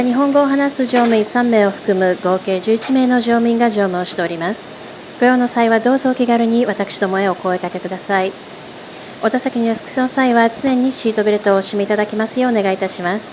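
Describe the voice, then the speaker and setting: A woman working as a flight attendant, reading a very polite, formal scripted announcement over a cabin PA. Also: fairly high pitch. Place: aircraft cabin. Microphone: cabin PA handset. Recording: muffled noisy cabin PA.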